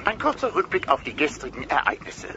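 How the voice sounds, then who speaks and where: An actor playing a solemn, showy dinosaur TV correspondent in a German audio play's mock news report. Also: male voice, on the high side, very animated, brisk, very noisy. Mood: solemn, breathless. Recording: dull sound under loud music.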